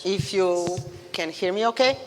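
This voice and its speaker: A woman in her fifties from Romania with a Romanian accent, giving a mother-of-the-groom wedding toast in a loud voice, laughing between lines. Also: mid-range voice, a little slow, slight background noise. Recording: reverberant hall.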